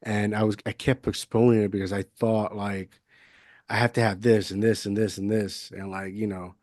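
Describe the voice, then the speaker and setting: Rambling man from Puerto Rico, low voice. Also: little pitch movement, normal speed, a little mellow, no room sound, clean studio sound. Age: 30s. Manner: rambling, lots of fillers and restarts. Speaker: podcast host. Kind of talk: casual conversational interview. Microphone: budget USB mic, video call.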